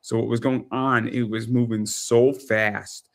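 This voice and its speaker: A man in his thirties hosting a casual interview, who talks in quick bursts and repeats words as he gets worked up. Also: mid-range voice, gravelly. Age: 30s. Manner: rapid, stumbling repetitions when excited. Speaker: host.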